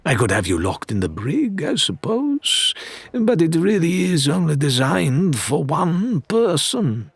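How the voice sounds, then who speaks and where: Brief: suave male pirate, husky, theatrical, mock-courteous, with swooping pitch. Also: big pitch swings, measured pace, no room sound, full character voice. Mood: mock regret. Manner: flamboyant, mock-polite, lilting.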